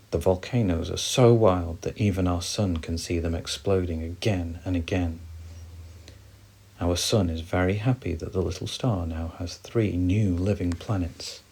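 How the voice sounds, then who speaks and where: A man gently reading a bedtime story for children, expressive and soft. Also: deep voice, gravelly, husky.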